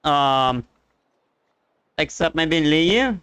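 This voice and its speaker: Casting: male game streamer, casual and hesitant, bright clear voice, close dry mic. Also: fairly high pitch, lively intonation, drawn-out words, no room sound. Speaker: livestreamer. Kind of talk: casual gameplay commentary.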